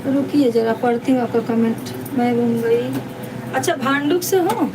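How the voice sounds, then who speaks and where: A woman with a high-pitched voice hosts a casual live chat. She talks to viewers as sisters and often repeats herself. She sounds some way from the microphone, with clear background noise around her. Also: lively intonation, normal speed. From Deoria district, India. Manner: repetitive, chatty, addresses viewers as sister. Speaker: live stream host. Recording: distant mic, noisy background.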